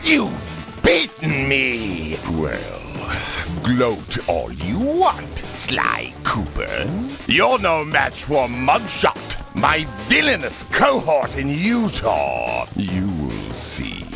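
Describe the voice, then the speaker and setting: An actor playing a pompous, menacing male villain in a video game cutscene, with a grandiose theatrical delivery and shouted threats. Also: mid-range voice, wildly swooping pitch, extremely slow, exaggerated voice. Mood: bitter gloating. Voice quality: gravelly, swooping theatrical delivery. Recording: game audio with music behind.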